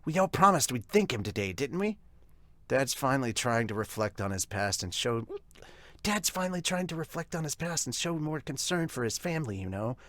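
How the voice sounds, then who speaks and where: A man voicing a gentle young woman, an older sister trying to keep the peace. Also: medium pitch, big pitch swings, a little fast, dry recording. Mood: pleading.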